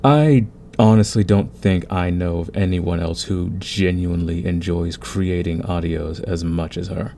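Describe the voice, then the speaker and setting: A man's voice: deep voice, steady tone, a little slow, vocal fry, mellow, slight background noise. A man hosting his audio channel, talking casually in an outro and thanking collaborators. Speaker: audio roleplay creator, host.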